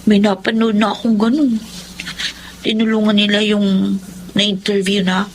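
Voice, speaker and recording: A woman's voice: fairly high pitch, slight background noise, lightly acted, rough recording. An actress playing a caring, wistful young girl, an elder sister, in a Filipino radio drama.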